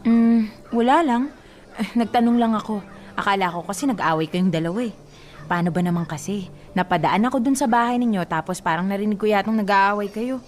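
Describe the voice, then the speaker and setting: Woman doing nosy feisty married neighbor, radio drama, chatty n blunt, tough and protective, mid pitch. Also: a little fast, natural voice. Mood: prying concern. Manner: chatty, emphatic, outspoken. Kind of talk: Filipino radio drama acting.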